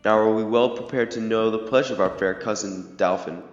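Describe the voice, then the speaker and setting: A man's voice: on the low side, brisk, lightly acted. Young man as proud English king, formal declamatory Shakespearean verse, muffled sound, music underneath. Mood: resolved. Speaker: amateur student actor. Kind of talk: Shakespearean verse audio drama. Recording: muffled, echoey hall reverb under music.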